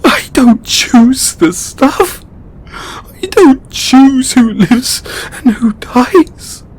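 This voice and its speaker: An actor playing a distraught, overburdened god in an emotional audio roleplay, a man speaking tearfully and haltingly with exasperated swearing. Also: extremely high pitch, animated, breathy edge, exaggerated voice. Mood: anguished, defensive. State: crying. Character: distraught, overburdened male god venting to his devotee.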